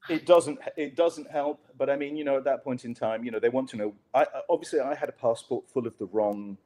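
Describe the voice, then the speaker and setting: A man from Pakistan, a journalist guest telling stories in a relaxed interview, with wry, dry humor and lots of fillers and restarts. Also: medium pitch, a little fast. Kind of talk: conversational storytelling on a podcast interview.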